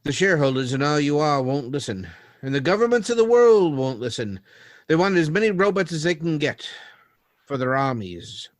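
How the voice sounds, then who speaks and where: A man playing an anguished scientist in a 1930s-style radio drama, speaking in a grave, halting, theatrical way. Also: on the high side, big pitch swings, dry recording. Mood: grave, foreboding. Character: anguished male scientist confessing to tampering with robots.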